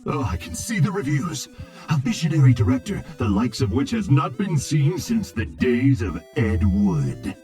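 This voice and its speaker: An actor playing a vain, grumbling robot film director from a game, with acid-tongued, theatrical Hollywood patter. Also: male voice, mid-range voice, sing-song, moderate pace, dark tone, faint music behind, close to an everyday voice. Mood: self-satisfied.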